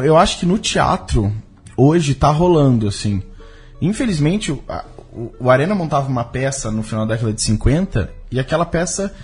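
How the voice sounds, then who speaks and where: A Brazilian man being interviewed as a film director, speaking casually and reflectively, often halting to search for his words. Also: medium pitch, darkish tone, slightly rough.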